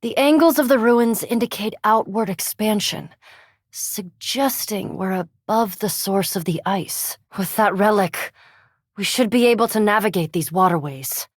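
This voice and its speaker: A woman's voice: medium pitch, a little slow, no room sound, studio quality, natural voice. A woman voicing a proud, sceptical vampire scholar in a fantasy video game, speaking formally and precisely with cool disdain. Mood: wary, intrigued.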